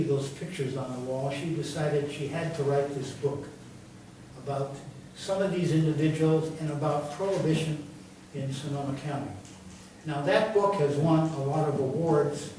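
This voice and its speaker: A man's voice: a little high, darkish tone. Man introducing a speaker in an echoey room. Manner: reads out awards in a measured way, with fillers. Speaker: event host introducing the speaker. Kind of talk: formal introduction. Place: large echoey room from far off. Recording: echoey room, distant mic, faint noise.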